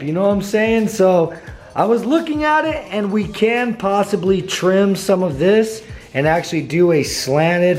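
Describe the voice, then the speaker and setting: A young man with a slightly hoarse voice, walking through a car build in a casual vlog and tossing in dude and bro. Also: on the high side, darkish tone. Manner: laid-back slangy, says dude and bro. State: slightly hoarse, losing his voice.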